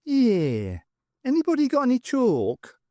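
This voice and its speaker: A man's voice: extremely high pitch, wildly swooping pitch, unhurried, dark tone, no echo, pitched above the performer's own voice, full character voice. A man voicing a self-pitying magician vizier who is really a computer program, whiny and sardonic.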